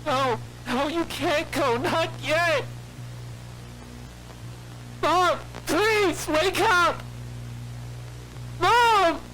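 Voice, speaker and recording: A woman's voice: very high voice, very slow, pauses often, pushed and bright, a little hiss or hum, full character voice, poor audio. A woman voicing a distraught child pleading with their mother in an audio drama. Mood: desperate, pleading.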